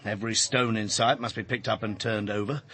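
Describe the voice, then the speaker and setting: A man's voice: fairly low pitch, steady tone, a little fast, bright, forceful tone, slightly rough. An actor playing a breezy male police colleague, informal and matey, in radio drama dialogue. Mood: chummy.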